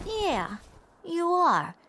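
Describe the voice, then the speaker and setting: A woman's voice: extremely high pitch, wildly swooping pitch, drawn-out words, background noise, exaggerated voice. A woman playing a brassy, nagging crook's girlfriend in a radio drama, slangy and easily spooked. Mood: needling, dismissive. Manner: slangy, colloquial wisecracking.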